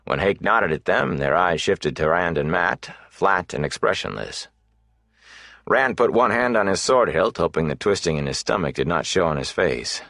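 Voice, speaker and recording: A man narrating a fantasy audiobook, telling the story in a measured, deliberate, dramatic way. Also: deep voice, expressive, fairly bright tone, no echo, studio quality.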